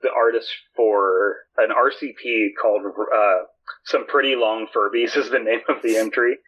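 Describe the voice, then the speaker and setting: Husky man on a lo-fi podcast feed. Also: fairly low pitch, steady tone, moderate pace. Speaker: podcast co-host. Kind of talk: casual comedic podcast chat. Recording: dull lo-fi voice audio.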